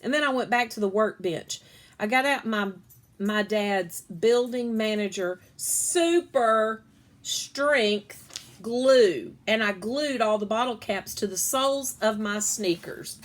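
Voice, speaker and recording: A woman's voice: fairly high pitch, slow pace. A woman reading a children's story aloud expressively, stretching key words as a storyteller does.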